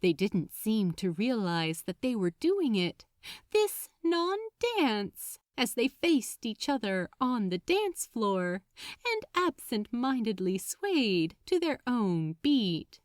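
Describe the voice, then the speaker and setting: A woman narrates fiction in a measured, expressive way. Her pitch rises and falls freely over a slow pace, in a close, dry recording. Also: no echo. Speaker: audiobook-style fan fiction narrator. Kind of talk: scripted story narration.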